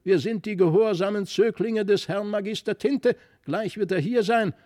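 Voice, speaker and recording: A man voicing spiteful little toys that taunt and threaten in creaky, croaking voices, in a storybook reading. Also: sits high, moderate pace, darkish tone, pitched above the performer's own voice, lightly acted. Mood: spiteful, menacing. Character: spiteful creaking little toy huntsman and harpist.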